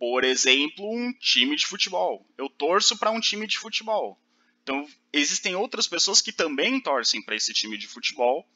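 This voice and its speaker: A man's voice: a little high, big pitch swings, moderate pace, bright, forceful tone, dull audio. A man teaching a recorded lecture, explaining step by step with fillers and check-ins.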